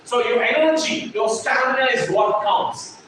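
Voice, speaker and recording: A man's voice: extremely high pitch, unhurried. A man, lecturing a classroom as a trainer, emphatic and repeating his points while checking whether the class follows. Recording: echoey classroom, distant mic, noisy.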